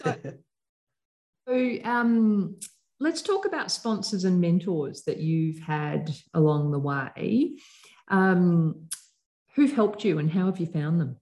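A woman's voice: fairly low pitch, takes it slowly, a little mellow. A woman interviewing a guest, probing and rephrasing her questions as she goes. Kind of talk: conversational interview.

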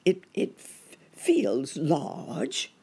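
A storyteller voicing a mild, timid gentleman rabbit in a storybook, hesitant and given to exclaiming. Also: mid-range voice, huge pitch swings, extremely slow, vocal fry, breathy edge, pitched above the performer's own voice, full character voice. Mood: uneasy.

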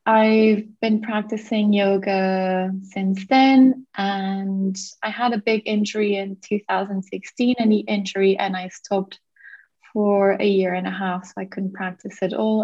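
A woman's voice: mid-range voice, darkish tone, lo-fi sound. A woman in her thirties from Portugal, a yoga teacher who is a podcast guest, telling her story in a grateful, reflective way with frequent fillers. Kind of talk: conversational interview.

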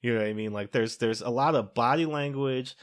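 A man's voice: medium pitch, brisk. A man hosting a podcast, talking through a film in a loose conversational way with lots of fillers. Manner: conversational, explains at length with many fillers. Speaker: podcast host. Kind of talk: casual film discussion.

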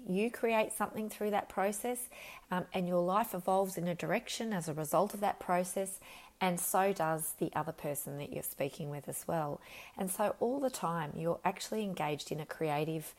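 A woman with a slightly croaky voice, talking earnestly and reflectively as a podcast host and coach, with frequent ums and self-corrections. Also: fairly low pitch, normal speed, a little mellow. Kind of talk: unscripted solo podcast talk.

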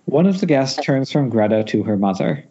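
A man's voice: mid-range voice, big pitch swings, normal speed, dark tone. A man reading stage directions as narrator at an amateur table read, recorded over a muffled internet call.

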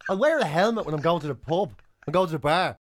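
Fast-talking, teasing Irish man. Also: on the high side, lively intonation, noisy background. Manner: teasing, sarcastic. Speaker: radio show co-host. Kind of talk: casual comic banter. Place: radio studio.

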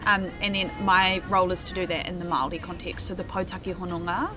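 A woman's voice: fairly low pitch, flat delivery, fast, over music. A woman with a New Zealand accent, a guest in a casual interview, friendly and light, with plenty of ums. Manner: friendly, laughing, many ums. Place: outdoor cafe.

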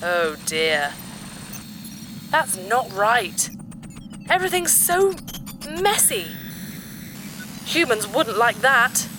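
A woman's voice: fairly high pitch, very animated, drawn-out words, long pauses, over music, heavily acted, poor audio. A woman playing a childlike, mischievous sentient spaceship computer in a sci-fi audio drama, sing-song, slipping into clipped computer announcements. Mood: fretful, scheming. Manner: speaks of herself in third person, sing-song, switching to clipped computer announcements. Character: childlike, mischievous female ship computer that has become sentient.

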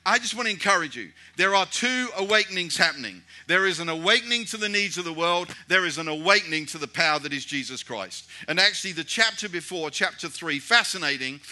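Bright-voiced British man preaching. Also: high-pitched, lively intonation, a little fast, hardly any pauses. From Britain. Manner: conversational, restarts often, rhetorical repeated questions. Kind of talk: sermon.

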